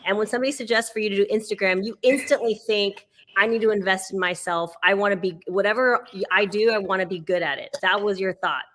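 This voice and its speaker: A woman's voice: medium pitch, fast talker, few pauses, fairly bright tone, slight background noise. A woman hosting a live interview, encouraging her guest and agreeing often. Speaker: host.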